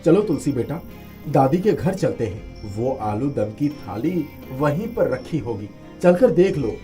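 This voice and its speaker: A respectful village headman in a cartoon moral tale, played by a man. His mellow voice swings widely in pitch, expressive and eager. Faint music sits underneath. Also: measured pace. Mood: puzzled. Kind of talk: Hindi animated moral story acting.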